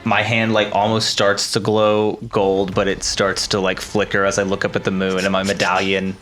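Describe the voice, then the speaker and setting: Male tabletop player, fairly low, informal and sweary, loose improvised delivery, soft score underneath. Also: monotone, normal speed. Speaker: player in a tabletop roleplaying game. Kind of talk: casual improvised game chat. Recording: faint music behind the voice. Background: background music score.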